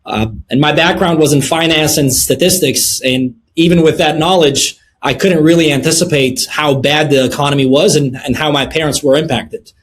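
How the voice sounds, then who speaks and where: A middle-aged man from Lebanon answering interview questions in a measured way, with many filler sounds between phrases. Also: fairly high pitch, a little hiss or hum. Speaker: guest, precious metals dealer.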